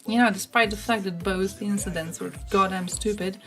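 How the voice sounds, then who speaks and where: Young woman streamer, sarcastic and sweary, from croatia, music going loud in the background. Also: mid-range voice, steady tone, normal speed. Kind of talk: casual livestream chat. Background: music playing.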